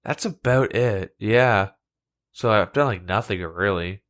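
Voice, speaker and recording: A man's voice: lowish voice, measured pace, fairly bright tone. Podcast co-host, male, plugged-up nose, easygoing. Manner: laid-back, lots of fillers like "like". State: stuffed-up nose from a cold. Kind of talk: casual chat. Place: shared room, two mics.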